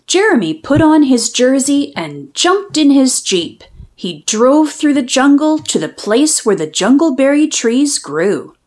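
A woman reads a children's picture book aloud in a high, very animated voice. She takes her time with each phrase, giving it a deliberate, sing-song shape suited to preschoolers. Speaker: children's read-aloud storyteller.

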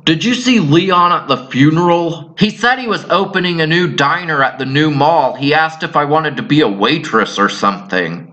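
A man's voice: fairly high pitch, animated, normal speed, hardly any pauses, sharp tone. A man voicing a dry, blunt grown daughter in an amateur cartoon sitcom parody, matter-of-fact in manner.

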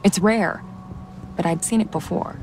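A woman playing a calm, caring, wry investigator who senses spirits, in measured video game dialogue and narration. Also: fairly low pitch, a little hiss or hum. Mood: reflective. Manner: measured, musing to herself.

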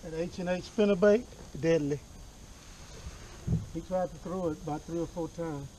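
A man's voice: a little high, normal speed, mellow, a little hiss or hum, rough recording. A man chatting to the camera and to the fish from a small boat on a lake, picked up by an action camera. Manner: chatty, talks to fish and viewers. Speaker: fishing vlogger. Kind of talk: casual talk to camera while fishing.

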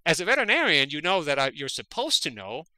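A man's voice: a little high, very animated, quick pace, pushed and bright, no room sound. A man from Denmark, a veterinary parasitologist, speaking as a guest in an interview. He talks earnestly and often repeats words as he gathers his thoughts. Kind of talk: conversational interview.

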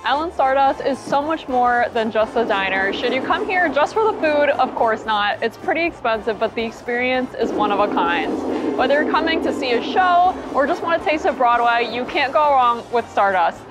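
Woman vlog host, high-ish, gushing; heavy music and crowd bed. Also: expressive, moderate pace, rough recording. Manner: gushing, enthusiastic. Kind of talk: excited travel vlog chat. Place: busy diner with live singing and a crowd. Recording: loud music and crowd noise behind. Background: loud singing and diner chatter.

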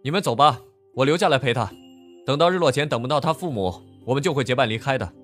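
Fast-talking bookish young loner played by a man. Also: medium pitch, lively intonation, fairly bright tone. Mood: flat, resigned. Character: young male student, bookish loner, matter-of-fact. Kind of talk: audio drama dialogue. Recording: music under the voice.

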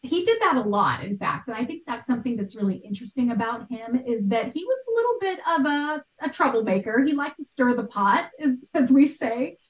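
A woman's voice: a little high, very animated, brisk, few pauses. A woman, explaining things articulately and conversationally as a guest expert on a video call. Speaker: guest expert, art history podcaster. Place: small bright room at home. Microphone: video call, at some distance. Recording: roomy, distant microphone.

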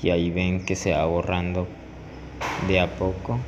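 A man with a low voice explains a technique step by step, as a barber would in a tutorial. His delivery stays flat and even. The recording rings with room echo and carries clear background noise. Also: measured pace, lo-fi sound. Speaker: barber teaching a haircut tutorial. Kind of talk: instructional voice-over tutorial.

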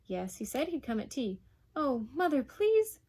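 A woman's voice: sits high, big pitch swings, normal speed, husky, voice pushed up, heavily acted. A woman voicing an earnest working-class schoolboy in a story, urgently imploring. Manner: urgent, imploring, words racing.

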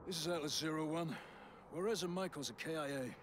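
A man acting a battle-hardened game soldier with a fairly high voice. He is terse, grim and used to command. Heavy vehicle and outdoor noise surrounds him. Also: brisk, lightly acted. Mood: grim, resolute. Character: tough battle-hardened male soldier turned against his betrayer. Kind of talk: video game military acting.

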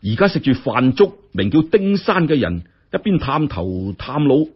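A male storyteller with a Hong Kong Cantonese accent narrates dramatically. His voice is husky and moves up and down a lot. The recording sounds dull and lo-fi. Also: medium pitch, sing-song, normal speed.

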